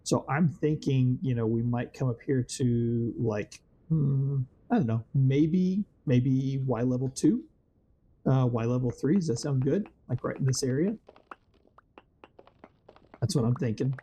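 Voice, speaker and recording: Let's-play host, man, a bit hoarse, dark mellow tone, meandering and filler-heavy, easygoing commentary. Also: mid-range voice, unhurried, muffled recording, a little hiss or hum. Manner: rambling, thinks aloud with many fillers. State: slightly hoarse, voice worn from weather change. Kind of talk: casual gameplay commentary.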